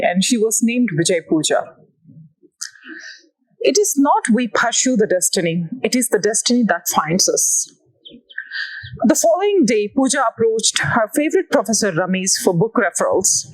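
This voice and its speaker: A woman with an Indian accent reads her own novel aloud as scripted narration, setting out each phrase deliberately. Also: mid-range voice, rough recording. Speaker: author reading own novel.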